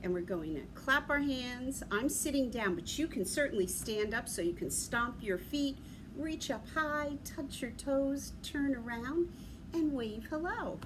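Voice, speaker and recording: A middle-aged woman talking to young children as a library story time host, in an animated, sing-song way with simple questions. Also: sits high, measured pace. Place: large library room with big windows, indoors. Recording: clear background noise, room ambience.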